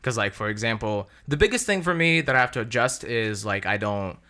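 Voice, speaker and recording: A young man, a YouTuber and native Russian speaker, hosting a relaxed chat, offering tips and repeating his phrases. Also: lowish voice, brisk. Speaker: host, YouTuber. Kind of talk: casual conversation.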